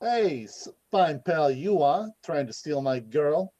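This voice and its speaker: Muffled call sound, man acting jovial brash young businessman, 1940s radio drama, mellow tone. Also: fairly high pitch, sing-song, slow, full character voice. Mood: teasing. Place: indoors, recorded over a video call.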